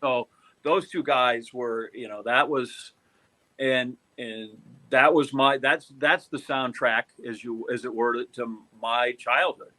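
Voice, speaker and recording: Mature American male sports veteran, bright forceful tone, halting, meandering podcast recollections. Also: mid-range voice. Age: middle-aged or older. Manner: halting, rambling, conversational. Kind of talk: casual reminiscing chat.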